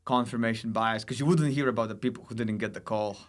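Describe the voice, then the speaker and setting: A man's voice: on the low side, fast. Reflective man chatting casually. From Lithuania. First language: Lithuanian. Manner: reflective, conversational, frequent 'like' and 'you know'. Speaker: stand-up comedian, podcast guest. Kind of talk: casual chat.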